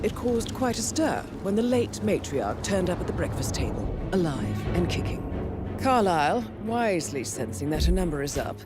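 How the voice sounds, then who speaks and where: Cool handler briefing an assassin, lively intonation, music behind. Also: female voice, lowish voice. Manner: crisp, dry and composed. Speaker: mission handler. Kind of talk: scripted mission briefing. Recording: game cutscene over music.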